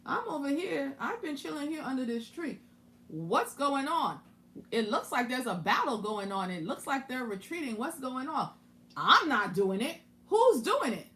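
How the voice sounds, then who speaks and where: A woman's voice: sits high, big pitch swings, moderate pace, a bit bright, voice pushed up. A woman voicing a puzzled male king in his camp who keeps asking questions in casual modern words.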